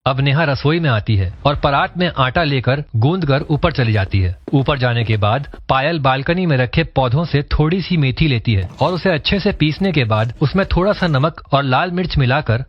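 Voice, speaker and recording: A man's voice: a little high, no echo. A man narrating a scripted story. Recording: dull, lo-fi voice track.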